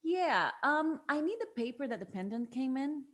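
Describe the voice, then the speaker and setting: A woman's voice: sits high, big pitch swings, moderate pace, a bit bright. An actress playing a kind, level-headed young woman in a script table read, with a hesitant, conciliatory, conversational delivery. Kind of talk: table read acting of a screenplay.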